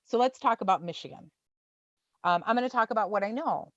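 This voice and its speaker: A forty-year-old woman talks through an online town hall in a loose, gentle way, leaning on ums. Her audio is dry and close, with dull video-call sound. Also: mid-range voice, brisk. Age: 40s. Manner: informal, gentle, with many fillers.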